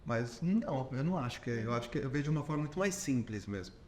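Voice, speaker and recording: A man's voice: mid-range voice, lively intonation, quick pace, a little mellow, a little hiss or hum. A Brazilian man hosting a podcast interview who lays out his views and checks in with his guest as he goes. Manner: explanatory, ends points with "entendeu?". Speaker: podcast host.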